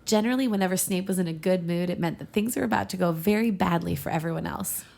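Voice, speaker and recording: Creaky-voiced woman reading fiction aloud. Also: fairly low pitch, quick pace, darkish tone. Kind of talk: casual comedic read-aloud narration.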